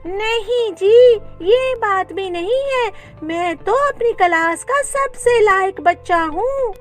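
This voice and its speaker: A woman's voice: extremely high pitch, animated, slow, faint music behind, pitched above the performer's own voice, exaggerated voice. A woman voicing a poor, honest ten-year-old boy in a children's storybook tale, childlike and plaintive. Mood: tearful denial.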